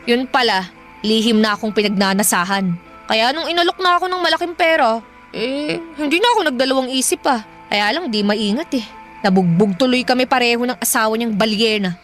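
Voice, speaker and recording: Casting: female actor for brash Filipino older sister, radio soap, high voice, sharp-tongued, brushes off all criticism. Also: a little fast, faint music behind, natural voice. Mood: defiant, unbothered. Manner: dismissive, sharp-tongued, taunting. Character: brash, shameless older sister, bar worker and mother. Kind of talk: Filipino radio drama acting.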